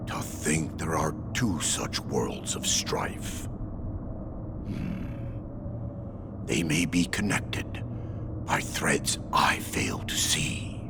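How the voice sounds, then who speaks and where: Comically grandiose warrior, video game cutscene, deep voice, slow theatrical delivery, hammy archaic phrasing. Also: male voice, vocal fry, noisy background, heavily acted. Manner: hammy, archaic grandiose speech with sudden outbursts. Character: boastful theatrical wandering swordsman, bombastic and comically grandiose.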